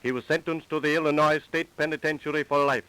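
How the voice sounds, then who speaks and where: A man's voice: on the high side, steady tone, quick pace, bright, forceful tone, rough recording. A man reading scripted narration for a 1930s radio crime program. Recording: faintly hissy vintage radio recording.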